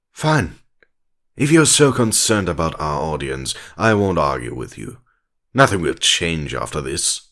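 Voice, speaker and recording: A man's voice: extremely low pitch, very animated, takes it slowly, long pauses, a bit bright, exaggerated voice. An actor playing a pompous, scornful villain professor in an audio drama, grandiose and condescending as he argues a prosecution. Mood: irritated.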